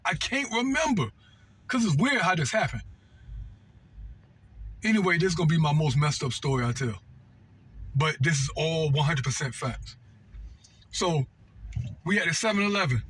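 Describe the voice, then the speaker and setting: A man's voice: high-pitched, fairly bright tone, a little hiss or hum. An American man telling a personal story casually off the cuff, slangy and repetitive, often checking that the listener follows. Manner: slangy and repetitive, seeking agreement with "right?" and "know what I mean". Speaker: storytelling vlogger.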